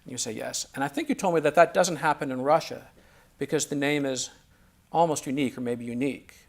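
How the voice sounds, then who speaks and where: Gravelly-voiced man hosting an interview. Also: medium pitch, fairly quick. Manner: hesitant, frequent ums and restarts. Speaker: interviewer and host. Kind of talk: relaxed conversational interview.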